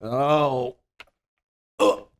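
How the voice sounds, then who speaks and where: A man's voice: mid-range voice, extremely slow. A man playing a brash, bossy Italian-Cuban buddy in a comedy table read, scolding and exclaiming. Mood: disgusted, bossy.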